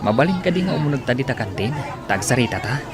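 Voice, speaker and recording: A man's voice: medium pitch, big pitch swings, fast, a crowd in the background, natural voice. A man with an Ilocano accent acting in a radio drama as an earnest, wistful bachelor devoted to a lost love. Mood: polite. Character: lovelorn single man, devoted to lost sweetheart.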